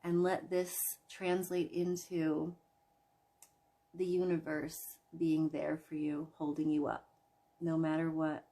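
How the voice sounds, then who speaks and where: A woman leading a guided imagery relaxation in a soothing, gentle manner, leaving long pauses between phrases. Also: sits low, rough recording. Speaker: guided meditation host.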